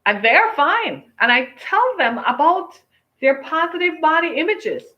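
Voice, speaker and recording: A woman's voice: high voice, big pitch swings, a little slow. A woman in her fifties talking casually to viewers, emphatic and preachy, repeating her phrases for effect. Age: 50s. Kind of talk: casual opinion talk to viewers. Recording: dull lo-fi sound.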